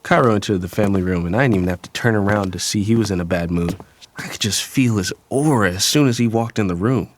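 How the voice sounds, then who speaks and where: A man's voice: fairly low pitch, quick pace, a little hiss or hum, close to an everyday voice. A young man playing a disgruntled son in an audio drama, narrating in the first person in a casual, slangy, conversational way. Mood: casual, wry. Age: young adult. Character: disgruntled young son from a troubled wealthy family. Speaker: actor and narrator.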